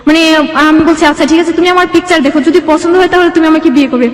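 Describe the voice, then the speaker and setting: A woman's voice: extremely high pitch, fairly even intonation, very fast. A young woman from Bangladesh telling her life story as an interview guest, rambling and quoting dialogue as she goes, in a reverberant room. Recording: echoey hall-like reverb.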